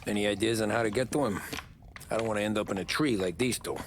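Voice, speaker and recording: A man's voice: fairly low pitch, fast, noisy background, close to an everyday voice. A man playing a cautious, dutiful mafia gunman in a video game cutscene. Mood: wary. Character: cautious, dutiful male mobster, the hired gun.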